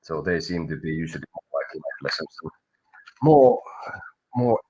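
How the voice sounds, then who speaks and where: Husky man with a Finnish accent. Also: very deep voice, sing-song, warm tone, lo-fi sound. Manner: halting, searches for words. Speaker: tarot reader. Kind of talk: live tarot reading.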